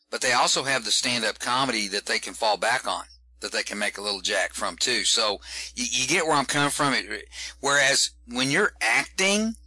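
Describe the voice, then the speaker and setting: A man with a Southern Texan accent, a comedian giving folksy, animated advice in an interview, often repeating words as he starts a sentence. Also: mid-range voice, dry recording, rough recording. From Texas. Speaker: stand-up comedian guest. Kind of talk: casual interview talk.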